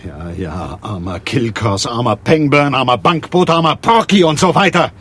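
An actor playing a cynical, curt private detective in a radio crime drama, dry and sardonic. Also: male voice, medium pitch, lively intonation, moderate pace, a bit bright, close to an everyday voice. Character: hard-boiled male private detective, cynical, curt and commanding. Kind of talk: radio crime drama with first-person narration. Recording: 1980s radio play, faint background noise.

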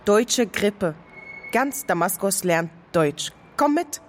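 A woman's voice: medium pitch, lively intonation, slow pace, a little hiss or hum. An actress playing a mysterious, teasing young Syrian woman in a radio drama, cool and playfully inviting.

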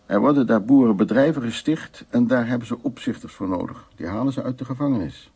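An actor playing an older man, a brooding war survivor telling his memories in a radio drama with a gravelly, husky, halting delivery. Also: medium pitch, dark tone. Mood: calm, explaining.

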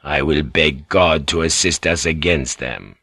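A man voicing a solemn, bulky priest of firm moral authority, speaking slowly and gravely in an audiobook story. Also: rumbling low, pushed and bright, no echo, clean studio sound, pitched below the performer's own voice, heavily acted. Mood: humble, grave. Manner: slow, grave, deliberate.

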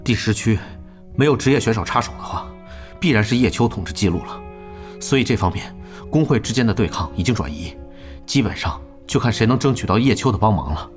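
Man acting a scheming young gamer, lively pitch. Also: fairly quick, faint music behind, lightly acted. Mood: cool, calculating. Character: scheming young male pro gamer, proud and grudging. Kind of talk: audio drama acting.

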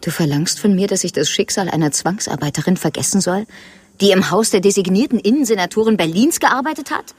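An actress playing a sardonic, combative lawyer in a radio drama, teasing and challenging in manner. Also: female voice, medium pitch, a little fast, breathy edge, natural voice.

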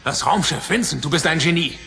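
A decisive, commanding spaceship captain in an audio drama. His voice sits a little high and comes in clipped bursts. Loud music swells behind him. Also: expressive, brisk, gravelly. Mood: hurried. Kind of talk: dubbed sci-fi radio play acting.

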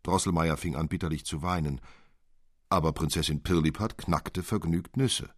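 Deep-voiced man narrating a fairy tale. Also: moderate pace, dry recording, clean studio sound. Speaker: audiobook narrator.

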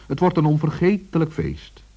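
A man's voice: on the high side, wildly swooping pitch, moderate pace, warm tone, breathy edge. A man narrating a children's story expressively, as a storyteller, on a dull vintage recording.

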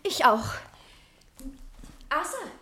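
An actress playing a sweet, attentive young niece with a polite, coaxing way, in a German radio drama. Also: female voice, high-pitched, very animated, drawn-out words, bright, forceful tone, full character voice. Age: young adult.

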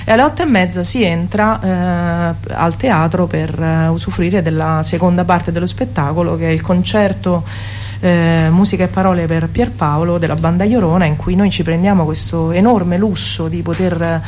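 A woman's voice: fairly low pitch, steady tone, normal speed, darkish tone. A woman informally presenting her upcoming concert as a guest, with a hum and noise behind her. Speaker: singer, interview guest. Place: radio studio. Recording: clear background noise and hum.

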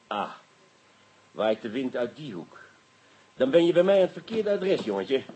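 An actor playing a pushy, sharp-tongued police detective in a radio drama, needling and colloquial as he interrogates. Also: medium pitch, big pitch swings, normal speed. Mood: needling, controlled. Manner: gruff, needling, colloquial, swearing.

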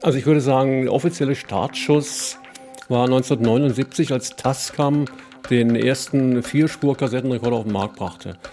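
A middle-aged man giving thoughtful interview answers, deliberately searching for his words. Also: mid-range voice, warm tone, soft background music. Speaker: interviewee, cassette culture radio host and musician.

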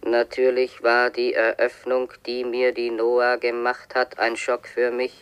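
An actor playing a sober, resigned official reading his grim diary in a radio drama, measured, detached and grave. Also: mid-range voice, monotone, a bit bright, clean tone, close to an everyday voice. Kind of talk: German radio drama monologue.

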